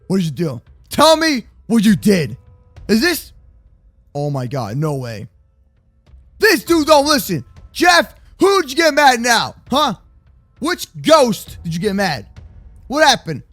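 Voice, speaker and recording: A young man doing excitable, playful gaming roleplay commentary for children, slangy and exclamatory. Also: high-pitched, takes it slowly, pauses often. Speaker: host of a gaming roleplay channel. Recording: faint music behind the voice. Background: faint music.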